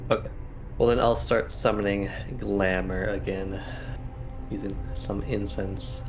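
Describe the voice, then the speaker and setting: A man's voice: fairly low pitch, steady tone, a little slow, music in the background, poor audio. A man playing a tabletop roleplaying game, chatting casually in a wry, joking way.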